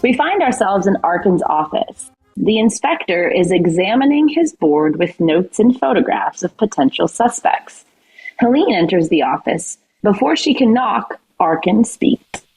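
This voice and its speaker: A woman reads scripted stage directions as the narrator of an audio play. Her voice is husky and mid-pitched, recorded close and dry. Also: very animated, unhurried, darkish tone, no room sound, soft background music, poor audio.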